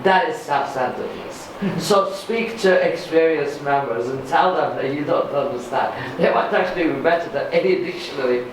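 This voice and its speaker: Woman, candid recovery share, emphatic, distant mic, booming hall echo. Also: normal speed, few pauses. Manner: candid, self-mocking, emphatic. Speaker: workshop participant sharing experience. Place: large meeting hall. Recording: echoey hall recording from far off, noisy.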